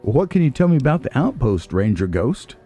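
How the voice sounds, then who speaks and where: A man hosting a let's play with casual, musing commentary, as if thinking out loud to himself. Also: mid-range voice, sing-song, a little fast, faint music behind. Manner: musing, nitpicking, talks to himself.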